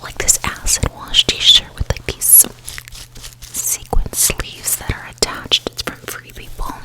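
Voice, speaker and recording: A woman hosting an ASMR podcast in a breathy whisper, speaking slowly and soothingly close to the microphone, with repeated words. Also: bright, forceful tone, rough recording. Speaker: podcast host. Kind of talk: whispered ASMR with guided meditation and rambling. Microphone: close sensitive ASMR microphone.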